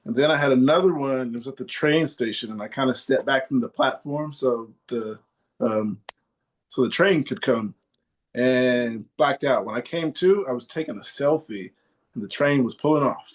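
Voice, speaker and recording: A man's voice: medium pitch, moderate pace. Man in his thirties telling stories. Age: 30s. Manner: rambling storytelling with quoted asides. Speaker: podcast host. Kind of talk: casual chat.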